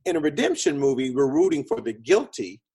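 A man's voice: a little high, wildly swooping pitch, fairly quick, warm tone, husky. A man speaking conversationally as an interview guest, explaining ideas like a storyteller and acting out quotes. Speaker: guest, film producer and script consultant.

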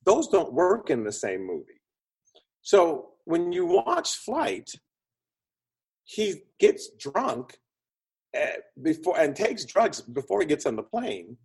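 A man's voice: fairly high pitch, wildly swooping pitch, takes it slowly, creaky, darkish tone, husky. A man speaking conversationally as an interview guest, explaining ideas like a storyteller and acting out quotes. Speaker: guest, film producer and script consultant.

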